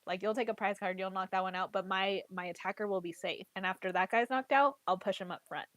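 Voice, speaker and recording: A woman's voice: medium pitch, fast talker, fairly bright tone, dry recording. Chatty congested woman, dry close sound. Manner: chatty, heavy use of "like" and "literally". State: congested, getting over a cold. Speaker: trading card game player and content creator. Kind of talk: casual chat.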